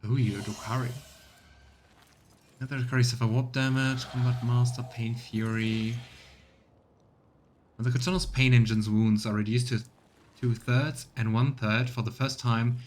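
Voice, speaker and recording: A male streamer with a mid-pitched voice talks through his game in a relaxed, musing manner, reading passages aloud evenly. Faint background noise sits under him. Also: moderate pace, pauses often. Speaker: let's play streamer. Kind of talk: casual gaming commentary and reading game text aloud.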